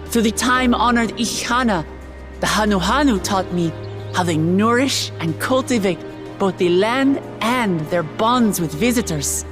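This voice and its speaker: A woman plays a young princess in a video game, speaking to her nation. Her mid-pitched voice is sincere and measured, an earnest heir with hope for her people, with music clearly behind. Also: close to an everyday voice. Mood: earnest, reflective. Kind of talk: heartfelt public speech in a video game cutscene.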